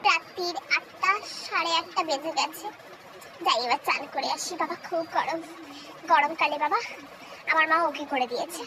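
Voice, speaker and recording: Very high, filtered young woman vlogger. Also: normal speed, noisy background. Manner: chatty, playful, self-mocking. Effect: high-pitched voice-changer filter. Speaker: social media video creator. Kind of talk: casual chatty vlog to camera.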